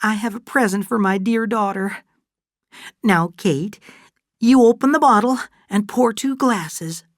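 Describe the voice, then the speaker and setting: A woman's voice: mid-range voice, unhurried, no room sound, studio quality, pitched above the performer's own voice, lightly acted. A woman voicing an emotional, doting older mother in a storybook, gushing and insistent as she talks. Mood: tender, excited. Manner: gushing, exclamatory. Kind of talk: dramatised audiobook dialogue.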